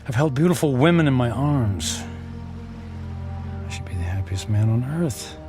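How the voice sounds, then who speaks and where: A man's voice: medium pitch, expressive, close to an everyday voice. Actor as a weary, wealthy man, music underneath. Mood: miserable, brooding. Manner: hesitant, halting, sighing. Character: wealthy, weary, miserable man returning home, curt and guarded. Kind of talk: radio drama acting.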